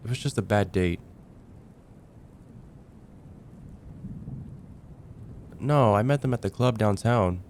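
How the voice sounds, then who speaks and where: A young man playing a casual heartbreaker friend confiding about dating in a conversational, hesitant ASMR roleplay, with sighs and trailing pauses. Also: on the low side, a little mellow, slight background noise, close to an everyday voice. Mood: pleading, casual. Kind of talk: ASMR roleplay, acted one-sided conversation.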